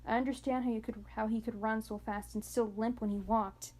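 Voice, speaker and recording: A woman reading a children's novel aloud in a halting way, often stumbling and going back over words. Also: fairly high pitch, fairly even intonation, darkish tone. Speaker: amateur audiobook reader.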